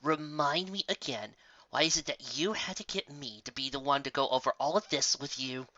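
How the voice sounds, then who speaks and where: A grumpy female pegasus from a cartoon fan story, as a narrator plays her. She is blunt and exasperated, with a bright, tense edge on a dull recording. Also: mid-range voice, moderate pace, no room sound, natural voice. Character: grumpy, temperamental female pegasus weather worker.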